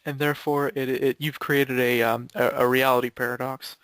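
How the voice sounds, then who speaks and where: Pria santai, suara kering, bercanda soal gim. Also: male voice, medium pitch, flat delivery, moderate pace, a bit bright, no room sound. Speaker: gaming video maker in a group. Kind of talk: casual joking group chat about video games.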